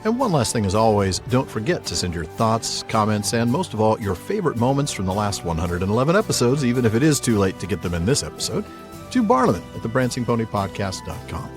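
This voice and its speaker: A man's voice: fairly low pitch, big pitch swings, quick pace, over music. A man co-hosting a podcast in a casual, jokey way, moving between banter and sign-off. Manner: jokey, laughs often while talking. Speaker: podcast co-host.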